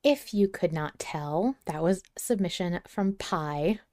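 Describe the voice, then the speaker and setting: A woman's voice: fairly low pitch, expressive, slow pace, warm tone. A woman co-hosting a podcast, chatting casually as a fan in an emphatic, gushing, dramatic way. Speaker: podcast co-host.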